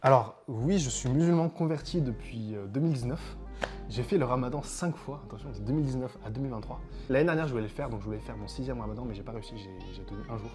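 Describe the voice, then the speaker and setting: A French man hosting a casual chat, explaining in a relaxed way and often adding "you see". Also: mid-range voice, fast, soft background music. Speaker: host, Wolof teacher.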